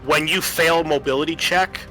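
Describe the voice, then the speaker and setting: A man acts as game master in a tabletop roleplaying session, with a bright, forward voice. He narrates and explains rules conversationally, feeling out rulings as he goes, while music plays faintly behind him. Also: a little high, fairly even intonation, moderate pace, poor audio.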